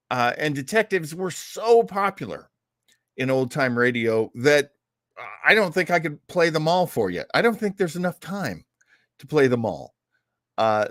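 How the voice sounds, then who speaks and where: Animated, rambling male radio-show host. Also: on the high side, no room sound, clean studio sound. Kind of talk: casual hosting of old-time radio show.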